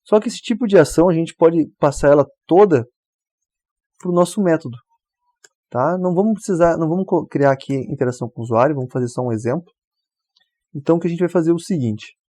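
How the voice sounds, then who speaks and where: A man's voice: fairly high pitch, fast talker, dry recording. A man walks through a coding tutorial step by step. He keeps checking in with the viewer as he goes. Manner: relaxed, explains step by step, often checks with "tá?". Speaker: programming tutor. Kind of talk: screen-recorded coding tutorial.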